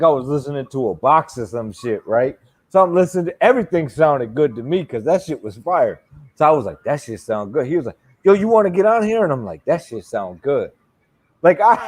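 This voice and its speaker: A man's voice: fairly high pitch, very animated, normal speed. A man in his forties telling stories in a loose, laughing way, swearing freely in casual chat. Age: 40s.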